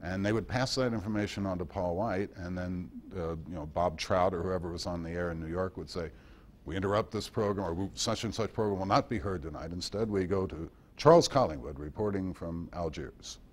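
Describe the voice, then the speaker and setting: Guy with a lowish voice rambling through stories at a club meeting, chatty, wry little asides. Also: fairly quick, a little hiss or hum. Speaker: guest speaker giving a history talk. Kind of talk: informal lecture with anecdotes.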